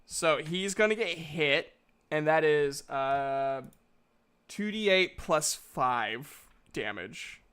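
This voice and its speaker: A slow-paced, fairly high-voiced man acts as game master for a casual tabletop game. His narration is informal and slangy, and it comes out in halting pieces. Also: animated, fairly bright tone, slight background noise.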